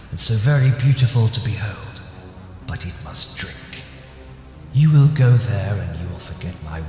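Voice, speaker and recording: A man voicing a solemn, ominous narrator of a dark prophecy in a theatrical, deliberate dramatic reading with heavy echo. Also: mid-range voice, pitched below the performer's own voice. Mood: ominous, awed. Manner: grave, deliberate, portentous. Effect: heavy echo and reverb. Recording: cavernous echo, long reverb.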